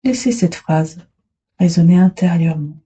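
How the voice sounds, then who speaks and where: A woman guiding a relaxation meditation as a yoga instructor, soothing and unhurried, speaking in short phrases with long pauses. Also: on the low side, mellow, husky.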